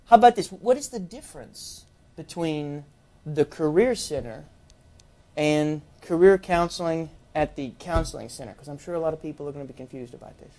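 A man's voice: a little high, expressive, normal speed, mellow. A middle-aged man hosting a campus TV interview show, asking questions in a playful, joking way from a studio desk. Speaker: talk show host. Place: small TV studio set, seated at a desk.

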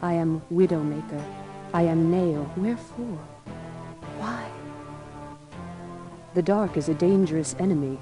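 A woman voicing a slow, brooding living sword. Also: fairly low pitch, natural voice. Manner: slow, solemn, poetic. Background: music.

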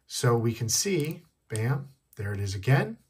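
Male tech tutorial host, a bit husky, casual step-by-step delivery. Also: mid-range voice, sing-song, unhurried, darkish tone. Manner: step-by-step explaining, repeating key points. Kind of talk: casual hands-on demonstration. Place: indoors at a wooden desk.

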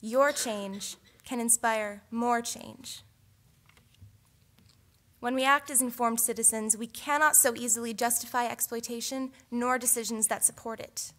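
A young woman speaks at a theatre lectern in a contest speech, earnest and persuasive. Her voice is bright and forward, pitched a little high. She leans on rhetorical questions. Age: young adult. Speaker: student orator. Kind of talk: competition speech. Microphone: lectern microphone.

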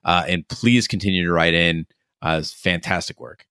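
A man hosting a podcast, talking through a review in a casual, measured, explanatory way. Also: low voice, bright, forceful tone, smooth, no echo, studio quality. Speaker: podcast host.

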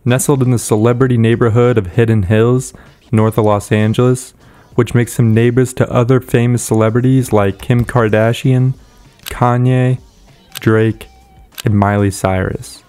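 A man giving a steady, scripted voice-over narration for a celebrity house tour. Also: mid-range voice, moderate pace.

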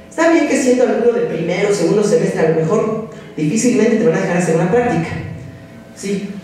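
Mexican male lecturer, 30s, direct and exhorting; warm timbre, very roomy hall sound. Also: sits high, slight background noise. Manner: direct, exhorting, addresses students as tú. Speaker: psychology lecturer. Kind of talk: conference talk to students. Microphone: podium microphone. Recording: roomy echoing hall from a distance.

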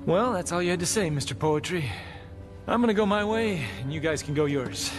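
A man's voice: fairly high pitch, expressive, a little fast, close to an everyday voice. An actor playing a cocky, wisecracking veteran male demon hunter in a video game cutscene. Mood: sardonic. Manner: wisecracking, offhand. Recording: game score music behind.

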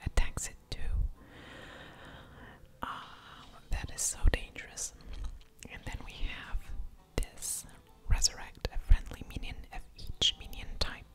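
A woman softly whispering ASMR commentary over a game, unhurried and with audible mouth sounds. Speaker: host of an ASMR gaming channel.

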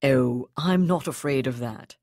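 A woman reading the lines of a calm, contemptuous male naval captain in an audiobook, speaking slowly and evenly. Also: very deep voice, husky, no echo, studio quality, heavily acted. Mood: coldly calm.

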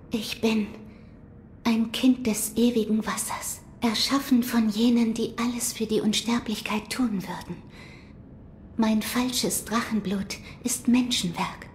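Solemn, hushed shrine maiden. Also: female voice, fairly high pitch, takes it slowly, a little hiss or hum. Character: solemn, gentle mystical shrine maiden of dragon blood. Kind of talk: audio drama acting.

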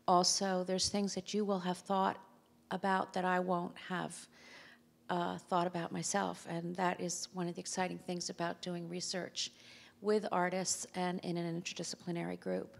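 Low, gravelly woman on a panel stage. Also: flat delivery, normal speed. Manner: reflective, thinking aloud with fillers and restarts. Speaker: researcher on a panel. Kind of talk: panel talk. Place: theater stage before a seated audience. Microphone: handheld mic. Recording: long reverb of a theater hall.

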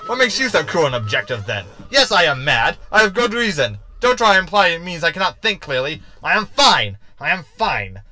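A man's voice: on the high side, very animated, a little fast, few pauses, bright, forceful tone, dry recording, exaggerated voice. A man playing a deranged ship's hologram in a sci-fi comedy audio drama, theatrical, pompous and scornful, dropping mock Latin. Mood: manic, menacing. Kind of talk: science fiction comedy audio drama acting.

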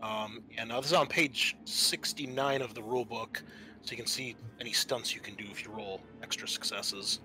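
Man, game master, mid pitch, hesitant, tabletop narration and rules, noisy amateur recording. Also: sharp tone. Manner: hesitant, halting, thinking aloud. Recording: clear background noise, amateur podcast.

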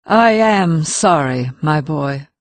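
A woman's voice: low voice, lively intonation, slow pace, no room sound. A woman playing a grave, caring older woman with a firm manner in an audiobook drama. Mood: sorrowful.

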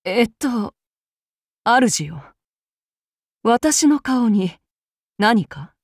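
A woman voicing a young samurai woman, cold, vengeful and unstable, in slow, measured game lines. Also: fairly high pitch, no room sound, studio quality, full character voice. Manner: slow, measured, calm then suddenly fearful. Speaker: voice actress for a game character.

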